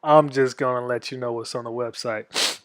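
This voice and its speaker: A young man in his twenties from Florida talks through a step-by-step tutorial, pausing often with ums and restarts. He sounds stuffed up from a cold, and his delivery stays flat and level. The recording is dry, with no room echo. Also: mid-range voice. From Florida, USA. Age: 20s. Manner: halting, many ums and restarts. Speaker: army veteran giving a tutorial. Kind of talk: casual screen-share tutorial.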